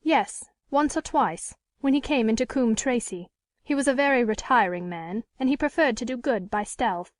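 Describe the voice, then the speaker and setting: A woman's voice: fairly high pitch, moderate pace, no echo, clean studio sound, close to an everyday voice. A woman voicing a proud, defiant woman in a storybook reading, speaking formally with indignant emphasis. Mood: sharp, defiant. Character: proud, defiant woman with an unhappy secret past. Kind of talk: dramatic audiobook character reading.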